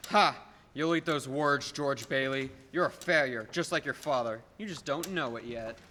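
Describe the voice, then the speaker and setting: A young man acts out a rich, scheming businessman for a radio play. His male voice is sharp and fairly high, smooth-talking yet domineering and patronizing. It carries through a reverberant hall with faint noise behind it. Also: natural voice. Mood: menacing. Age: young adult. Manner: patronizing, persuasive, deliberate emphasis. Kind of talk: filmed radio play acting. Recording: echoey hall, background noise.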